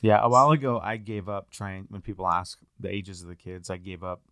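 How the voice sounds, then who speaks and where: A man's voice: low voice, fairly quick, bright, forceful tone, clean tone, dry recording, clean studio sound. A middle-aged man hosting a podcast, chatting in a friendly, self-deprecating way. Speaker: podcast host. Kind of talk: casual interview chat.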